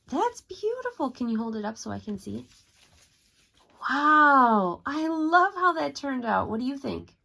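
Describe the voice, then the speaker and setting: A woman's voice: high voice, very animated, measured pace, gravelly, pushed and bright. A woman teaching a craft step by step to young children, speaking as a preschool teacher in simple, encouraging phrases.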